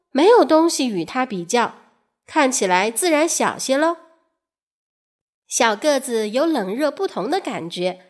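A woman storyteller reading scripted educational narration for children, speaking directly to young listeners in a teacherly, questioning manner. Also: high-pitched. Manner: addresses young listeners directly with rhetorical questions and soft sentence particles.

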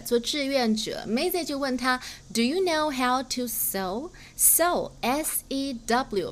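A native Chinese-speaking woman giving a chatty, explanatory English lesson, reading phrases aloud and glossing them. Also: on the high side. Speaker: English teacher and podcast host.

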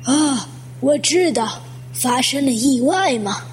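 Slow-spoken, composed elderly lady played by a woman. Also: sits high, expressive, a little hiss or hum. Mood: polite, guarded. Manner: slow, courteous, measured. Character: elderly widow guest, polite, composed, quietly insistent. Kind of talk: Chinese audio drama acting. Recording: guitar music under the voice.

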